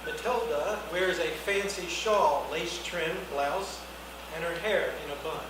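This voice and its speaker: A man's voice: a little high, measured pace. A man reading scripted stage directions as the narrator at a live screenplay reading. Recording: echoey noisy hall, distant mic.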